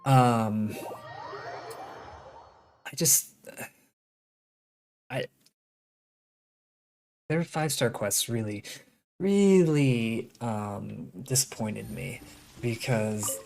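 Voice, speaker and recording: A video game streamer giving casual live commentary, rambling and thinking out loud, with opinionated, sarcastic asides. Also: mid-range voice, drawn-out words, creaky, pauses often, a little hiss or hum. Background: game music.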